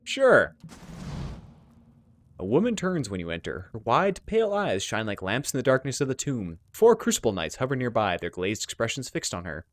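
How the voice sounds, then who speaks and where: A man's voice: mid-range voice, sing-song, normal speed. A man doing a let's play, reading fantasy game story text aloud and adding casual commentary. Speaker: let's player.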